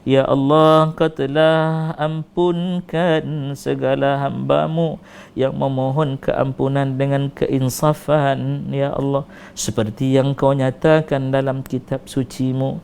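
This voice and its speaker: Malaysian Malay man leading a pleading prayer, smooth voice, fairly level pitch. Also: little pitch movement, takes it slowly, darkish tone. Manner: pleading, rising and falling recitation with repeated invocations. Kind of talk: emotional supplication prayer.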